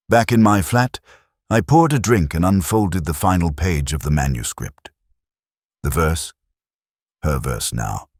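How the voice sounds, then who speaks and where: A man playing a reflective, melancholy middle-aged detective, narrating his case in measured, solemn literary prose. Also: a bass voice, dry recording, clean studio sound. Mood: somber, reflective. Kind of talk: literary first-person scripted narration.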